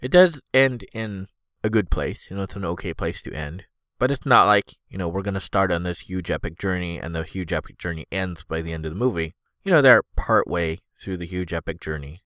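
A man's voice: sits low, a little fast, fairly bright tone, no room sound, studio quality. A man hosting a solo podcast, rambling casually with lots of fillers, his voice stuffed up and congested. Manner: rambling, filler-heavy, easygoing. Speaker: podcast host.

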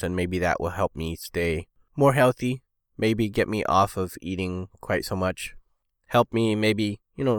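A man's voice: fairly low pitch, clean tone, no room sound, studio quality. A man hosting a solo podcast, rambling casually with lots of fillers, his voice stuffed up and congested. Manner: rambling, filler-heavy, easygoing. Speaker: podcast host.

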